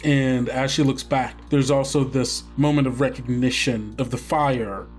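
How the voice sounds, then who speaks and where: A man runs a tabletop roleplaying game as its game master, speaking slowly at a middling pitch. He describes scenes in a hesitant, improvised way. Guitar music plays under his voice. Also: fairly even intonation. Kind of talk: narrating an actual-play tabletop game.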